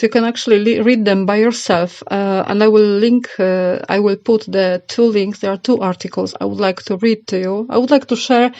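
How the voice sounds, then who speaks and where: A woman's voice: mid-range voice, darkish tone. A middle-aged woman from Poland with a Polish accent, giving a casual opinion monologue to her channel in a hesitant, rambling way with frequent repeats. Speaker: channel host. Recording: roomy, slightly dull home recording.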